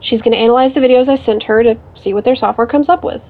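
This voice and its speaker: Wry older sister, tinny phone line. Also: female voice, fairly high pitch, quick pace. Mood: wry, practical. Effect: telephone filter. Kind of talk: audio drama dialogue, naturalistic. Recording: thin, band-limited phone line.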